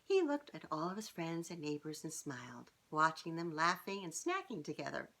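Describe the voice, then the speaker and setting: Low-voiced woman reading to children. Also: normal speed. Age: middle-aged. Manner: expressive, speaking directly to young children. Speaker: children's author reading aloud. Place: small furnished living room, indoors.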